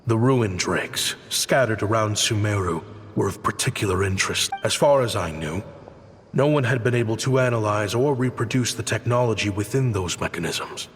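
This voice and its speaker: Man voicing a wistful low-voiced exiled scholar, reverberant. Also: a little hiss or hum, lightly acted. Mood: regretful. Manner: reflective, measured storytelling. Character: former scholar turned desert inventor, wistful and regretful. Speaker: story narrator and character. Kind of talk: scripted video game narration and dialogue. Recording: long reverb, music behind. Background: music.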